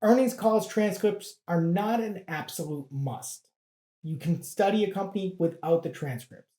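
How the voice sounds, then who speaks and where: A presenter giving an educational explanation in a measured, plain-spoken way, like a guide for learners. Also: mid-range voice, mellow, reverberant.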